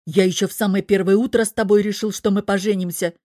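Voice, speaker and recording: A woman's voice: medium pitch, fairly even intonation, fast, warm tone, no echo, clean studio sound, natural voice. Woman voicing defiant, slangy young tough guy in love. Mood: exasperated protest. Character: stubborn, exasperated young tough man in love, nicknamed devil.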